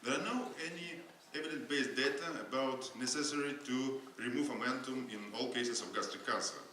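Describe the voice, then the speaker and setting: A non-native English-speaking man giving a surgery lecture at a conference, halting, with many fillers and restarts. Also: medium pitch, pushed and bright. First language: not English. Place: lecture hall. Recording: very echoey hall with faint noise.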